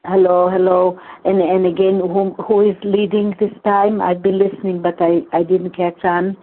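An older woman whose first language is Hungarian, sharing in a recovery meeting in a halting, earnest way, often repeating words. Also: fairly low pitch, flat delivery. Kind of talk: personal share in a phone recovery meeting. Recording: tinny phone line.

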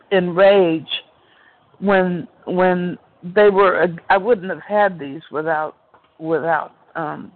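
A woman talks slowly over a narrow, tinny phone line. She shares in a halting, reflective way, pausing and restarting as she goes. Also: lowish voice. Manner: halting, with many fillers and restarts. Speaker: meeting participant sharing. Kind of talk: personal recovery share. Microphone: phone call.

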